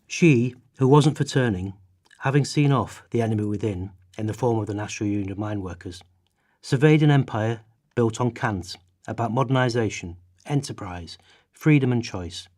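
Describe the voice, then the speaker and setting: A man's voice: mid-range voice, normal speed, a little mellow. A man giving declamatory, emphatic readings of quoted prose for a radio play. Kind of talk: dramatic read quotations in a radio play.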